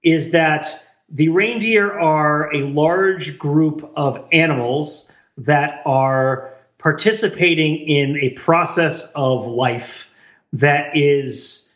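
The recording is muffled and noticeably roomy. A man with a fairly high voice speaks as a podcast co-host, casually analysing and piecing his ideas together as he goes. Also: fairly bright tone. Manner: questioning, works ideas out aloud. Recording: dull, roomy lo-fi connection.

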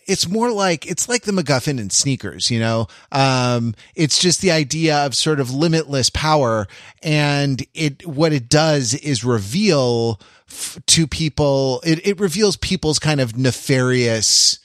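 A man in a casual film discussion, a podcast panelist who haltingly searches for his words with a wry touch. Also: fairly high pitch, animated, no room sound, studio quality.

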